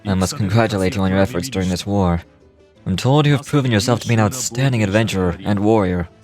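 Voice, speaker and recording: An actor voicing a solemn, honorable, commanding captain in a video game, formal and measured. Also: male voice, fairly low pitch, darkish tone, soft background music, close to an everyday voice.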